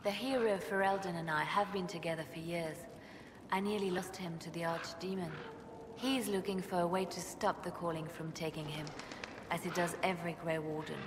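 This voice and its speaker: An actress playing a composed, devoted female spymaster in a fantasy video game, measured and earnest, in an echoing hall with noise. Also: fairly low pitch, fairly even intonation, lightly acted. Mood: solemn, tender. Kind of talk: cinematic game dialogue acting. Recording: echoing stone-like hall, noisy ambience.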